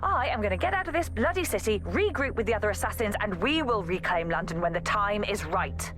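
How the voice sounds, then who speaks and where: A woman's voice: mid-range voice, animated, fairly quick, music in the background, lightly acted. An actress playing an exasperated, protective young woman assassin in a video game audio log, scolding and pleading emphatically. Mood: angry.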